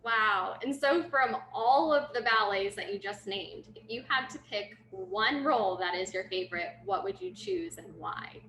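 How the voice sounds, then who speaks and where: A woman's voice: fairly high pitch, expressive, normal speed, hardly any pauses, sharp tone. A woman interviewing two dancers, enthusiastic and full of praise. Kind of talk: friendly interview questions. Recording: echoey room with faint noise.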